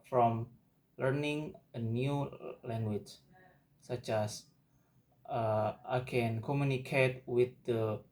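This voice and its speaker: A young Indonesian man speaks English at a very unhurried pace, pausing and repeating words as he restarts his sentences. His voice sits at an ordinary pitch for a man, and he talks like a student giving a spoken assignment. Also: a little mellow. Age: young adult. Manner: slow, halting, with frequent restarts and repeated words. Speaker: university student. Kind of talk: spoken class assignment monologue.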